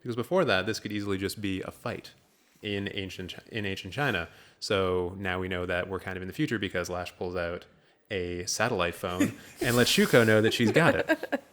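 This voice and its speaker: A man with a fairly low voice talks casually as a podcast host. He rambles through his storytelling with a joking, improvised feel, as if recapping something for friends. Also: a little fast, fairly bright tone. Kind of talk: casual film recap chat.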